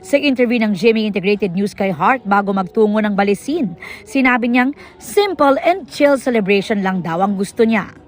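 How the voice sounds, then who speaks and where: A woman's voice: mid-range voice, animated, normal speed. A Filipino woman presenting entertainment news in a scripted narration style.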